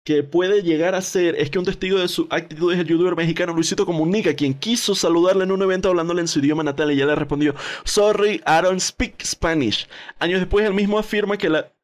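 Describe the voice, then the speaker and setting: Male podcast co-host, young, Venezuelan accent, pitched a bit above average, loose slangy gossip delivery with bits of English. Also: fast. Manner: informal, slangy, peppered with English words. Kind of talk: casual gossip chat, partly reading out posts.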